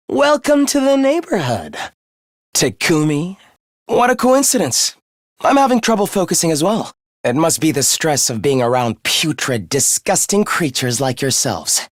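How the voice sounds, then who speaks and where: A man's voice: no echo, clean studio sound. A man voicing a sadistic young male video game villain, mock-polite and theatrical in his contempt. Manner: mock-polite, theatrical, swinging into shrill ranting. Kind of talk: anime-style video game voice acting.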